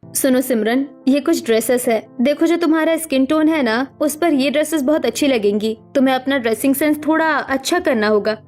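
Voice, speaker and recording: Lady doing kind young sister in law, cartoon story, high voice, coaxing and encouraging. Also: fairly quick, a little mellow, faint music behind, natural voice. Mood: coaxing, reassuring. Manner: coaxing, reassuring. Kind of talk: dramatic Hindi cartoon story acting.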